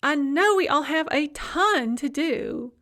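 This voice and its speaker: A cloying college administrator croons every sentence in a bright, high sing-song, as a woman narrator puts her on. Her sweetness is plainly fake and her tone talks down to everyone. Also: lively intonation, takes it slowly, full character voice. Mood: sugary. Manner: sing-song, sugary, condescending.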